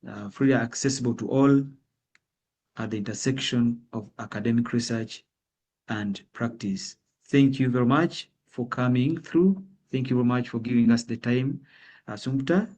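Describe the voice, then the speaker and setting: A man's voice: mid-range voice, darkish tone. A man moderating an event, reading speaker introductions with frequent hesitations and restarts. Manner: hesitant, many fillers and restarts.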